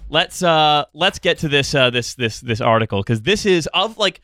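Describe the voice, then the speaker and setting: A man's voice: a little high, expressive, normal speed, bright, forceful tone. A man hosting a podcast, chatting casually about the news in a sarcastic, satirical way. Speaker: podcast host.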